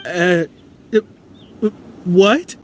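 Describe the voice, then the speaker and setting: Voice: mid-range voice, very slow, a little hiss or hum. An actor playing a nervous, superstitious client in an anime-style fantasy video game, hesitant and earnest. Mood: bewildered, hesitant. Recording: music behind the voice.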